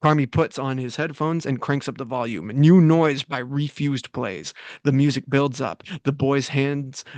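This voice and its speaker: A man who wrote the script, reading its stage directions aloud in a plain, brisk manner. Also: medium pitch, steady tone, darkish tone, dry recording.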